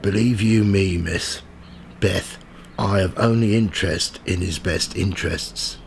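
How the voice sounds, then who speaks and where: A man's voice: deep voice, a little slow, vocal fry, a little hiss or hum. A man acting a cynical, smug tabloid reporter in a radio play, with a sardonic, goading manner. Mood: mocking. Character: cynical, smug male tabloid reporter narrating his own investigation. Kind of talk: radio play acting with first-person narration.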